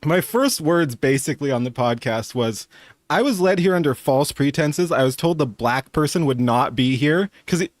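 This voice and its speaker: Casual reaction commentator, mid-pitched, amused and informal, foul-mouthed, recorded close and dry. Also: animated, moderate pace, no room sound. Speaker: podcast guest commenting on a recording.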